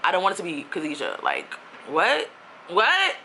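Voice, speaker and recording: A woman's voice: fairly low pitch, moderate pace, a little hiss or hum. A young woman from New York, ranting casually on her own vlog in a chatty, slangy way. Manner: chatty, animated, with slang and asides. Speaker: YouTuber.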